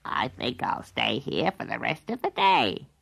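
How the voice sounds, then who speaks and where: A woman voicing a cheerful little male frog in a low, gravelly croak. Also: very animated, measured pace, fairly bright tone, heavily acted. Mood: contented.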